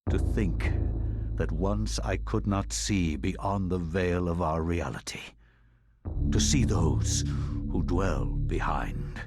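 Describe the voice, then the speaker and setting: A very deep, sinister narrator. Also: male voice. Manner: deliberate, portentous. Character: sinister, solemn male keeper of dark knowledge. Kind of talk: ominous scripted game narration.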